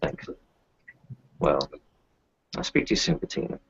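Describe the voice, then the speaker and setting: Low-pitched, weary kinfolk doctor played by a man. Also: sing-song, a little slow, vocal fry, slightly rough, no room sound, highs cut off, heavily acted. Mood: earnest. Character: weary, kindly male kinfolk doctor who lost his children. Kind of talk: tabletop roleplay, improvised in character.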